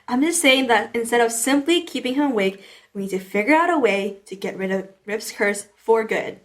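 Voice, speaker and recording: A woman's voice: on the high side, lively intonation, moderate pace, natural voice. A woman playing a clever, determined young problem-solver in an audio drama. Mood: thoughtful.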